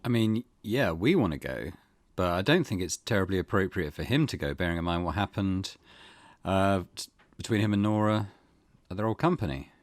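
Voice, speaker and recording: A man's voice: sits low, animated, moderate pace, no room sound. A man hosting a let's play, giving casual, wry commentary and reading game narration aloud. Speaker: gaming video host. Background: game music.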